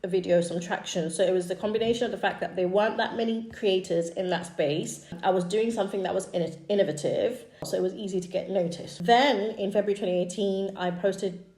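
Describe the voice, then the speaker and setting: A woman in her thirties from East Africa whose first language is Swahili, chatting casually as a YouTuber walking through her own channel history with fillers and quick asides. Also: medium pitch, a little mellow. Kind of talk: casual screen-share walkthrough. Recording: echoey room.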